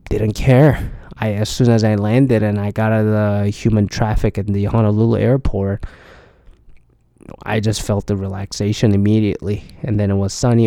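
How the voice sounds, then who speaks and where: A man's voice: on the low side, vocal fry, dark tone, dry recording, clean studio sound. A tired man talking casually in a rambling, profane solo podcast, with many restarts. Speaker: podcast host. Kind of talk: casual solo travel chat.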